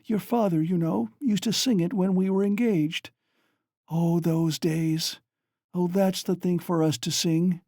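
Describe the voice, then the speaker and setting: A reader voicing a hoarse delirious dying mother. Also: high voice, slow pace, warm tone, no room sound, clean studio sound, voice pushed up, exaggerated voice. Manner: shrieking, frantic, wailing. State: hoarse, gasping.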